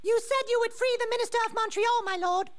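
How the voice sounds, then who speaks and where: A woman's voice: extremely high pitch, fast talker. An actor, a woman, playing an earnest, secretive princess in a comedy game, urgent and pleading. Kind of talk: cartoon comedy game acting.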